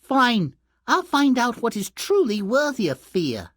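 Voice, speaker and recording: Man doing a high, naive young boy. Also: wildly swooping pitch, unhurried, a bit bright, breathy edge, no echo, clean studio sound, heavily acted. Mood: naive curiosity. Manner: plainspoken, questioning. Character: naive, curious, fearless young son. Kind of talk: video game fairy-tale acting.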